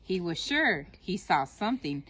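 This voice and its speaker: Female storyteller, children's read-aloud, expressive, emphatic phrasing, widely varied intonation. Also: on the low side, measured pace, husky.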